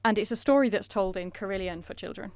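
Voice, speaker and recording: A woman interpreting a conference presentation in a measured way, with frequent filler pauses. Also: mid-range voice, clear, resonant tone, no echo.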